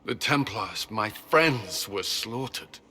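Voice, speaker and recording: An actor playing a haunted, proud soldier in a video game, speaking with halting, formal intensity. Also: male voice, fairly low pitch, lively intonation, sharp tone, slightly rough, heavily acted. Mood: haunted, anguished. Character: haunted, proud soldier man struggling with his vows. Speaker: former Templar commander. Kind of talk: dramatic video game dialogue.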